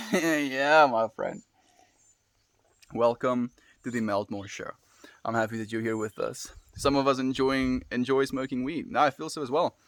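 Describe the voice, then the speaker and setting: A relaxed South African man chatting casually on his live stream, musing aloud and answering viewer comments with easy little laughs. His voice sits at an ordinary middle pitch. It is recorded close and dry, with almost no room sound. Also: dry recording. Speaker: livestream host.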